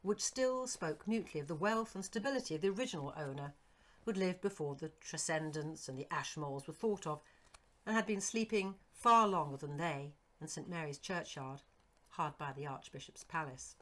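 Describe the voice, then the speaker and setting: A woman reading a Victorian horror story as scripted narration, in an expressive, literary storytelling manner. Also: low voice, moderate pace. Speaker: narrator, audiobook reader.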